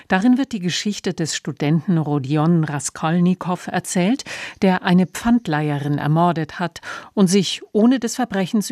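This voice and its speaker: A woman radio announcer reading a scripted introduction in a measured, factual manner. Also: fairly low pitch, a little mellow, dry recording, clean studio sound. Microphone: close studio microphone.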